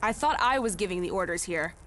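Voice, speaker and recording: An actress playing a brash, foul-mouthed, bloodthirsty outlaw warrior in a video game, sneering and cocky. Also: female voice, lowish voice, expressive, fairly quick, a bit bright. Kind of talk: dramatic game dialogue.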